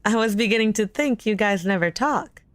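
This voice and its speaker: A woman's voice: mid-range voice, animated, normal speed, fairly bright tone, no room sound, close to an everyday voice. An actress playing a wary, outspoken newcomer who questions her hosts in an audio drama. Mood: skeptical. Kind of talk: scripted audio drama acting.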